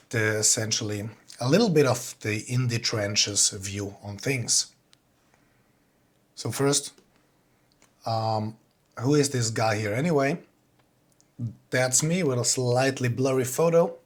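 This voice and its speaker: Plain-spoken man with a Swiss accent. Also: mid-range voice. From Switzerland. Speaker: composer giving a talk. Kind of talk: informal online presentation.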